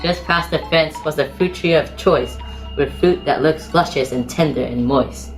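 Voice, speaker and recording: A woman's voice: deep voice, normal speed, music in the background, rough recording. A woman narrator reading rhyming, scripted storybook narration in a theatrical storytelling manner.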